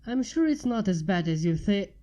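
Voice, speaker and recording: A woman's voice: mid-range voice, very animated, moderate pace, dark tone, lightly acted. A woman voicing a blunt, teasing, skeptical female friend in a story reading. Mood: sarcastic. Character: sarcastic, skeptical woman friend, easily alarmed.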